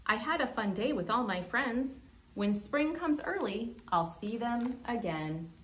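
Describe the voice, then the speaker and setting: A woman's voice: a little high, big pitch swings, measured pace, fairly bright tone, low-quality recording. A woman voicing a sleepy, stubborn little male groundhog in a children's storybook. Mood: content.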